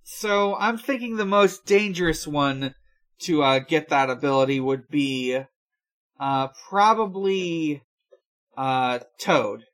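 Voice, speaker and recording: A man's voice: fairly high pitch, slow pace, fairly bright tone. Hesitant young man chatting. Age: 20s. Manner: hesitant, thinking aloud. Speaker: podcast co-host. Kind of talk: casual chat.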